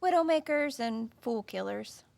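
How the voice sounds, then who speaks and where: An actress playing a terse, no-nonsense dog handler in an audio drama, clipped and matter-of-fact. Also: female voice, on the high side, lively intonation, measured pace, creaky, darkish tone, dry recording. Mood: cautionary. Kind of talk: naturalistic audio drama acting.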